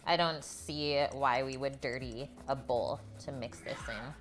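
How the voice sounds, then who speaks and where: A woman's voice: extremely low pitch, fairly even intonation, measured pace, soft background music. A woman hosting a live cooking stream, talking in a chatty, informal way to viewers as she works and tells stories. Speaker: cooking livestream host.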